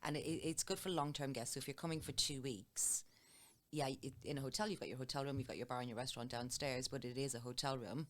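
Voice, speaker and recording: A middle-aged woman, a hotel sales manager, calmly explaining her work as an interview guest, often listing examples. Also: very deep voice, quick pace. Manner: plain, steady explaining with listed examples.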